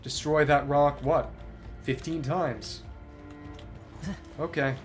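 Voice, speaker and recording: A man's voice: fairly high pitch, fairly even intonation, slow. A man doing live gameplay commentary as a streamer, talking analytically through tactics to himself. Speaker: game streamer. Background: music behind the voice.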